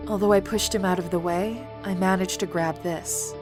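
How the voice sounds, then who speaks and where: A woman's voice: on the low side, fairly even intonation, normal speed, lightly acted. A woman playing a serious, protective young academy student in an audio drama, speaking in a restrained, matter-of-fact way. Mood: reporting, serious. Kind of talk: scripted audio drama acting. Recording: voice over clear background music.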